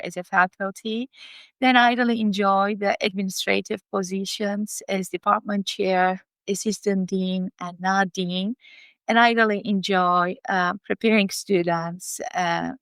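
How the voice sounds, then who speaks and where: A woman's voice: mid-range voice, creaky, a bit bright, dry recording. A woman in her fifties or older with a Turkish accent, answering interview questions as a college dean. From Turkey. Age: 50s or older.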